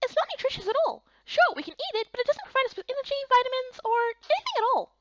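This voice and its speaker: A woman's voice: very high-pitched, big pitch swings, very fast, no room sound, lo-fi sound, voice pushed up. A woman voicing a bubbly, chatty, kindly female fairy in a visual novel game. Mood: explanatory. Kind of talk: voiced playthrough of fantasy visual novel.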